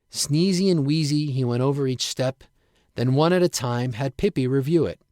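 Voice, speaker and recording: A man's voice: medium pitch, darkish tone, no echo, studio quality. A man reading his own rhyming story aloud in a storybook narration style. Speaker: writer reading own piece.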